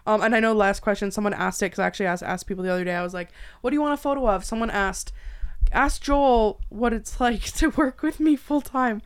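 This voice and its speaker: A young Canadian woman hosts in an informal, colloquial chat style. Her voice sits at an average pitch for a woman, and she talks as if among friends. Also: fast talker, clear, resonant tone. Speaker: podcast host. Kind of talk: casual chat.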